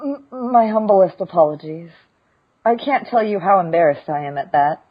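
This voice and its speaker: A woman's voice: lowish voice, expressive, moderate pace, breathy edge. A woman voicing a stern, formal armored woman warrior in a fan podcast read-through, speaking in an earnest, formal way. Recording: muffled lo-fi recording.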